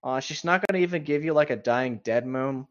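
A man's voice: mid-range voice, fairly quick. A man reading a story aloud casually and breaking off for irreverent, sweary commentary. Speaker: co-host reading stories aloud. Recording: dull, lo-fi microphone.

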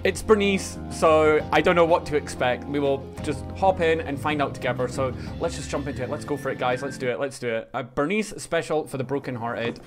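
A man in his thirties giving a casual, excitable video reaction as a streamer, often repeating his phrases. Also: fairly high pitch, brisk, hardly any pauses. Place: small indoor streaming room. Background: video game trailer music.

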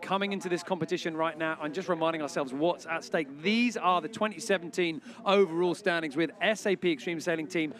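A man's voice: a little high, fairly quick, hardly any pauses, a bit bright. A man hosting live sailing coverage from the shore, talking through conditions and the standings. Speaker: sailing commentator and host. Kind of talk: live sports commentary. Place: on the shore at a hot beach venue.

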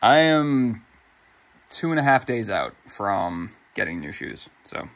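A man's voice: on the low side, very animated, slow pace, a bit bright. Wry young man vlogging on a trail. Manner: wry, self-mocking, laughs things off. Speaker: thru-hiker vlogger. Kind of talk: casual trail vlog to camera. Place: outdoors on a mountain trail. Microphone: phone held at arm's length.

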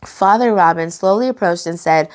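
Young female storytime host, expressive and engaging, reading picture books aloud for kids. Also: fairly low pitch, moderate pace. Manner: expressive, engaging, enunciated for children. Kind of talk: animated read-aloud for children.

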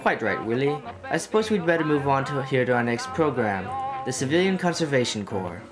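A teenage man playing a composed, formal 1930s radio host, speaking in a measured, dry way from a script. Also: mid-range voice, sing-song, close to an everyday voice. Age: teens. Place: small wood-panelled room indoors. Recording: music playing over the voice.

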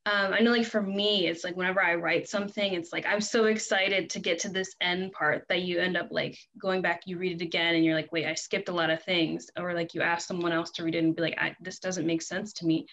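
A woman's voice: fairly low pitch, vocal fry, a bit bright. A young woman casually asking a question as a student on a video call. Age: young adult. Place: small room at home. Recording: dull, noisy laptop video call.

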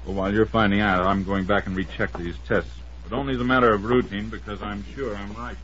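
A man's voice: fairly low pitch, fairly even intonation, quick pace, a bit bright, close to an everyday voice. A man acting an assured, methodical medical expert in an old-time radio mystery. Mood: urgent, certain. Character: assured, methodical male doctor and forensic expert. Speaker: supporting actor. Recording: muffled old radio recording.

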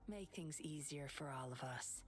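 Woman playing a low-voiced, cool, self-assured lady. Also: moderate pace, soft background music, natural voice. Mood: coaxing. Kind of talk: video game voice acting.

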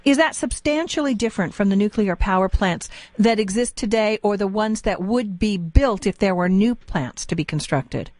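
A woman's voice: mid-range voice, expressive, normal speed, few pauses, darkish tone, dry recording. A woman hosting a live radio interview and call-in show, speaking in an informal, conversational way. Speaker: radio talk show host.

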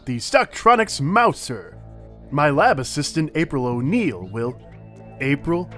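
A man playing a smug, pompous male inventor in an animated comic drama, with a grandiose, showy delivery. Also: on the high side, a little slow, faint music behind. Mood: impatient. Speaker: actor in a motion comic. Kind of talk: theatrical animated drama acting.

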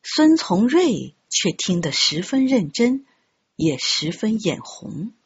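A woman reading a novel aloud as an audiobook narrator, in an expressive storytelling style. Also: medium pitch, slow, husky. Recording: dull, muffled lo-fi audio.

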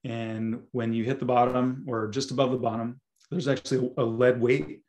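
A hoarse man who is losing his voice, giving a webinar talk over a video call with many ums and restarts. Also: mid-range voice, a little mellow. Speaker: guest speaker, scientist. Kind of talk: webinar presentation.

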